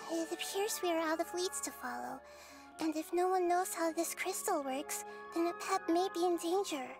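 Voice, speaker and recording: A woman voicing a small, wise, childlike goddess in a video game, calm and measured as she explains. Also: extremely high pitch, music in the background. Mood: pensive.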